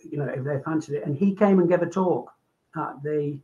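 An older man with a Manchester accent speaks on a video call from his home, stopping often to restart his words. His voice sits a touch high for a man. The sound is dull and lo-fi. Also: warm tone. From England. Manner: halting, with many ums and repeats. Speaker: guest doctor. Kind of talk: casual video call interview. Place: home room.

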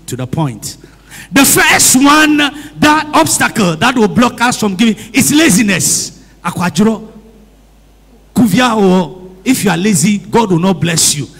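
Priest preaching in a reverberant hall. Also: male voice, sits high, vocal fry, slightly rough. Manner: preaches in English and Twi, calls for amens; repeats phrases and asks the congregation questions. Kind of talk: sermon. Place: church hall.

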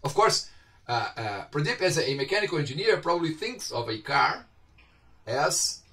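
Middle-aged lecturer with a Brazilian accent. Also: male voice, on the high side, sing-song, normal speed, bright, forceful tone. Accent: Brazilian Portuguese. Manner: conversational, questions students often, gestures while talking. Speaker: university lecturer. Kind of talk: informal online lecture. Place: home office with bookshelves. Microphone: webcam on a video call. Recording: slightly distant video-call audio.

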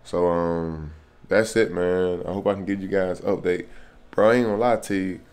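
Casting: American male, thirties, low voice, informal slangy video diary delivery recorded in a small room. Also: steady tone, normal speed, darkish tone, clean tone. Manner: slangy, repeats "man", "bro" and "you know what I'm saying". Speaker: truck driver vlogger. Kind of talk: casual vlog talk to camera. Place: small furnished hotel room, indoors.

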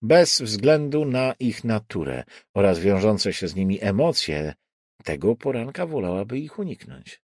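A man narrating a crime thriller audiobook in a deliberate, suspenseful way with dramatic pauses. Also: fairly low pitch, big pitch swings, darkish tone, no echo, clean studio sound.

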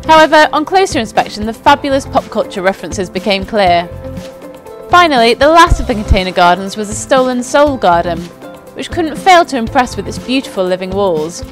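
An upbeat young woman reads a scripted narration with enthusiasm, her voice sitting a little high. Clear music plays underneath her throughout. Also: sing-song, a little fast. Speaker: company presenter. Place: outdoors at a flower show.

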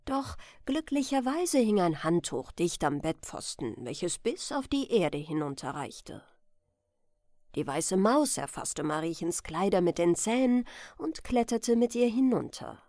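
A woman's voice: medium pitch, very animated, measured pace, a little mellow, no room sound, clean studio sound. A woman narrating a bedtime fairy tale as storyteller, reading expressively and with care.

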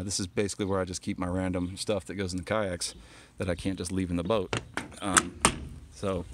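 A man's voice: on the low side, slight background noise. A man walking around his kayak trailer outdoors and explaining it casually, with lots of ums and restarts, filmed on a handheld phone. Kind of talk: casual walkaround show-and-tell. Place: outdoors on a driveway.

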